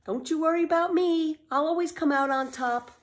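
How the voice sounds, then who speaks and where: A woman's voice: sits high, normal speed, pitched above the performer's own voice, natural voice. A woman voicing a confident, carefree nine-year-old girl from a children's storybook, with a cheerful, boastful, exclamatory delivery. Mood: cheerfully reassuring.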